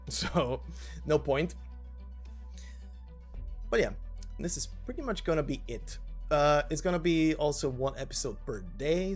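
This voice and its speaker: A man hosting a story narration channel, giving an informal, slightly halting spoken introduction. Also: fairly high pitch. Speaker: narrator and host.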